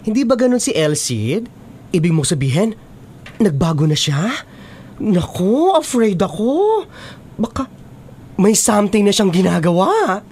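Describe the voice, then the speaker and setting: Sassy, animated best friend. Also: female voice, medium pitch, a little slow, long pauses, breathy edge, exaggerated voice. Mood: suspicious. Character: sassy, nosy, supportive young female best friend. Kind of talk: Filipino radio drama acting.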